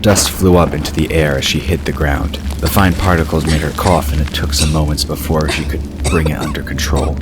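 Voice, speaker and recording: Man, bass-deep voice, dramatic scripted storytelling, measured fantasy narration, prominent music underneath. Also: fairly even intonation.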